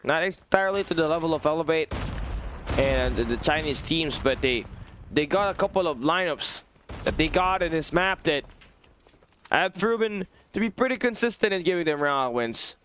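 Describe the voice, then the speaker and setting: A man giving live play-by-play commentary on an esports match, his voice tired and hoarse, excitable and rising to shouts at big plays. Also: on the high side, moderate pace, a little hiss or hum. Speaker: esports caster. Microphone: phone on a low battery.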